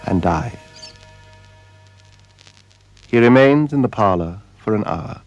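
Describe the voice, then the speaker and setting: A man narrating a radio drama in a solemn, measured way, with deliberate dramatic pauses. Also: fairly low pitch, lively intonation, lo-fi sound. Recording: radio drama with music behind. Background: music.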